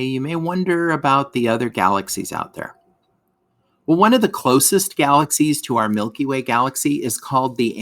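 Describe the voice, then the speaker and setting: A man reading a scripted educational lesson aloud for children, as a teacher, in an expressive way that speaks straight to the listeners. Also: lowish voice. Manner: expressive, addresses listeners with questions.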